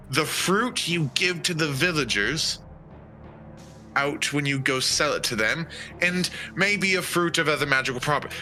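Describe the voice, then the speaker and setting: Guy playing tabletop rpg, slow and halting, kinda higher voice, music behind him. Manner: halting, thinking out loud. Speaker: player in a tabletop roleplaying game. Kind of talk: improvised in-character narration at a game table. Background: background music.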